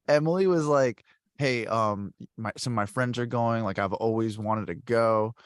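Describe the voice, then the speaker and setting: A man of middle pitch tells stories at length in loose, animated chat, full of fillers and restarts. His voice sits dry and close to the mic. He sounds relaxed and conversational as he hosts. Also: unhurried, clean tone, no room sound. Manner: rambling, animated storytelling with many fillers. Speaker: podcast host. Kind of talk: casual chat.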